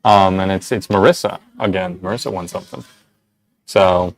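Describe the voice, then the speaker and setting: A man's voice: low-pitched, moderate pace, creaky, dry recording. A young man with a nasal voice, hosting a casual shop livestream and rambling through giveaway details with a lot of "right?".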